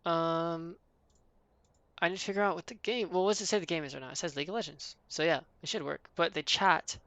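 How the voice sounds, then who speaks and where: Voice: medium pitch, fairly quick, fairly bright tone, no echo. A streamer chatting casually and thinking aloud while setting up a live stream.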